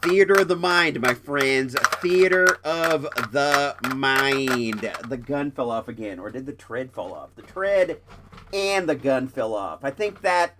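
A man's voice: medium pitch, lively intonation, takes it slowly, heavy background noise. A young man hosting a podcast who is sick and stuffed up, chatting casually and jokingly while he unboxes a toy. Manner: rambling, jokey, self-interrupting. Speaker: podcast host.

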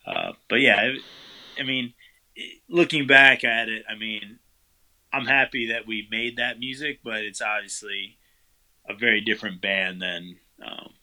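A man's voice: lowish voice, unhurried, poor audio. A man, the guest vocalist, answering interview questions casually, with lots of fillers and loose rambling. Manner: relaxed, rambling, many fillers.

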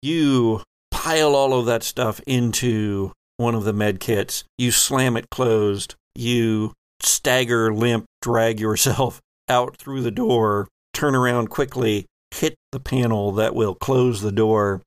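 A man narrating a tabletop role-playing game as game master in a deliberate, descriptive way. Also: medium pitch, steady tone, clear, resonant tone, no echo, studio quality.

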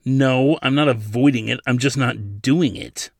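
A man's voice: mid-range voice, normal speed, no room sound, clean studio sound, lightly acted. A man voicing a stubborn, sulky eighteen-year-old prince with petulant protests, as in an audiobook. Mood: belligerent. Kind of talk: audiobook character dialogue.

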